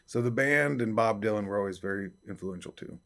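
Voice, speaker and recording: A young man from upstate New York talks in a raspy, fairly low baritone. He answers interview questions in a loose, easygoing way, with plenty of fillers and restarts. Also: brisk, fairly bright tone. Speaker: country singer-songwriter guest. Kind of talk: casual interview talk.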